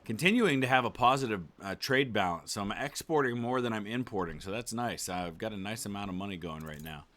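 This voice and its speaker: A man's voice: fairly low pitch, brisk, few pauses, fairly bright tone. A man giving laid-back, casual commentary as he plays a game, thinking aloud. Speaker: gaming YouTuber. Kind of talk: casual let's play commentary.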